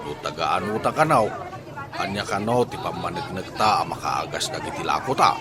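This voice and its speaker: A man's voice: low-pitched, animated, moderate pace, a crowd in the background. A man playing a scheming, easily flustered peddler of fake medicine in an Ilocano comedy drama, with an Ilocano accent and exclamatory delivery.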